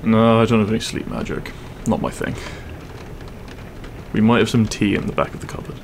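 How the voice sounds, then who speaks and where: Male actor as half-dragon roommate, gruff, sweary, weary, secretly soft, creaky voice, audio roleplay, noisy background. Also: on the low side, fairly quick, close to an everyday voice. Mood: softening, caring. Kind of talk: audio roleplay acting, spoken to the listener.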